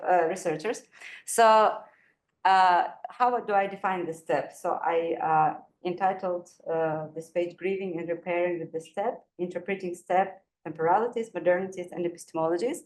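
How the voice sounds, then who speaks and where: A woman panelist giving an academic talk, hesitant with many fillers and light laughs. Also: on the low side. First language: not Kazakh; Kazakh is her second language. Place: university event room. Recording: echoey room with faint noise.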